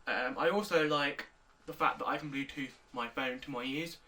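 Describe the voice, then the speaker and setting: An eighteen-year-old man, calmly answering interview questions with pauses. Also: medium pitch, far from the mic. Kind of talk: recorded video interview answers.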